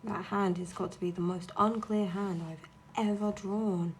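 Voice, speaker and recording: A woman's voice: medium pitch, steady tone, a little slow, slight background noise. A young woman streaming while she draws, chatting casually in a blunt, sweary, ranting way. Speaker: streamer and artist.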